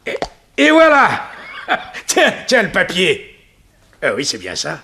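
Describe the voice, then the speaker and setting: Vintage radio gangster: slangy, cocky, bright, very lively intonation. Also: male voice, high voice, takes it slowly, vocal fry, slight background noise, heavily acted. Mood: smug, intent. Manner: slangy, offhand, animated. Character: cocky, chuckling, slangy crook. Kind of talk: 1960s French radio serial acting.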